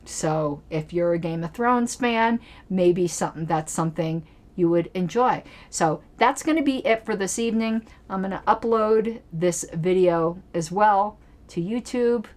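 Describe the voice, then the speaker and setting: A woman's voice: fairly low pitch, a little slow, darkish tone. Chatty rambling woman streamer. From Colorado. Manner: rambling, chatty, with frequent fillers and restarts. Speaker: political commentary streamer. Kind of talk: casual solo livestream chat. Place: home basement.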